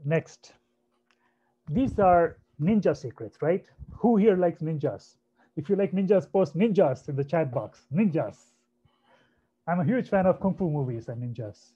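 A man in his thirties from India with a naturally soft voice, presenting a career webinar in a conversational way and often checking in with "right?". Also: fairly high pitch, lively intonation, unhurried, husky. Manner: conversational, repeats himself, asks "right?" often. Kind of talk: online career webinar talk. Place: home room on a video call. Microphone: computer mic on a video call. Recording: dull lo-fi webinar audio.

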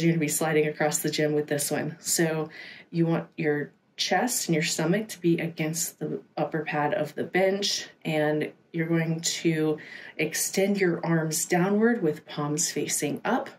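A woman's voice: fairly low pitch, a little mellow. A woman giving an instructional workout voice-over as a fitness instructor, explaining each step in a casual coaching manner.

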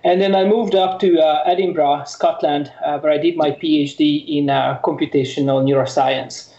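A man's voice: a little high, steady tone, normal speed, warm tone, highs cut off. Hesitant man from Hungary, echoey line. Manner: hesitant, many fillers, storytelling. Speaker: researcher guest. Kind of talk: interview answer.

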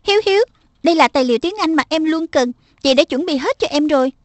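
Elder-sister schoolgirl, voiced by a woman. High, dry-recorded, assertive and persuasive, for a children's cartoon dub. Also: lively intonation, fast, dry recording. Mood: coaxing. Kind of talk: Vietnamese-dubbed children's cartoon.

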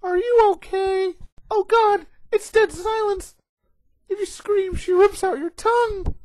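A woman voicing a ditzy, whiny, excitable teenage schoolgirl heroine in an anime parody dub. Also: very high-pitched, a little slow. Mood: exasperated. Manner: whiny, childish, melodramatic.

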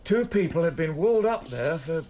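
A man's voice: a little high, animated, moderate pace, dark tone, a little hiss or hum, lightly acted. An actor playing a conscientious, troubled prison keeper reporting respectfully to his superior in a radio drama. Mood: troubled, earnest.

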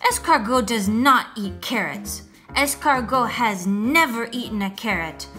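A woman voicing a boastful, sweet little French snail in a picture book, theatrical and deliberate, talking straight to a child. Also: a little high, animated, bright, forceful tone, faint music behind. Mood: indignant, fierce. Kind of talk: picture book read-aloud.

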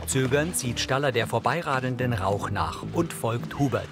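A man's voice: medium pitch, animated, moderate pace, over music. A man reading scripted audio description in a neutral, matter-of-fact way.

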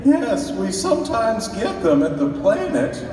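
A man's voice: sits high, wildly swooping pitch, unhurried, crowd noise, full character voice. A man playing an anxious, flustered superhero in disguise in melodramatic old-time radio style. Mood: worried. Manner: melodramatic, exclaiming. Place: on stage in a large convention hall. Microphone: far audience camera picking up PA. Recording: echoey convention hall from far off, audience noise.

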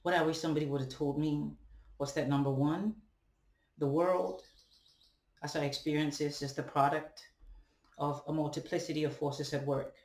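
Young ghanaian guy thinking out loud to his webcam, bit higher pitched, stop and start, roomy echo. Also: steady tone. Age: young adult. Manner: halting, deliberate, rhetorical questions and tag "right?". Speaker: vlogger. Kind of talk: reflective monologue to camera. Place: indoors, room at home with bare walls and ceiling fan. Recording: echoey room, webcam at a distance.

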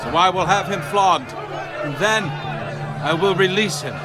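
Exasperated Roman governor in scripture drama: raised pitch, declamatory, loud crowd and music behind. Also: male voice, a little slow, very noisy. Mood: commanding. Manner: declamatory, commanding.

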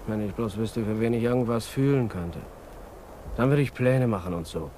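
A man's voice: lowish voice, moderate pace, mellow, lightly acted. An actor playing a restless, rebellious young man in a 1950s German radio play, blunt and moody. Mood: brooding. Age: young adult. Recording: 1950s radio recording, faint noise.

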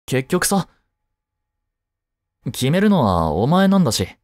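A man's voice: a little high, big pitch swings, no echo, studio quality. A man playing an easygoing, caring teenage boy, a childhood friend, in a romantic audio drama, speaking casually and reassuringly to the listener. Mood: concerned, supportive. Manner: casual, gently reassuring, slow with pauses.

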